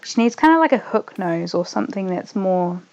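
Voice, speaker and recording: A young woman with a New Zealand accent tinged slightly Australian, chatting loosely as a streamer while she plays, trailing off quietly as she concentrates. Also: medium pitch, expressive, moderate pace, lo-fi sound. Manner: rambling, trails off softly when focused on the game. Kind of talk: casual gaming livestream chat.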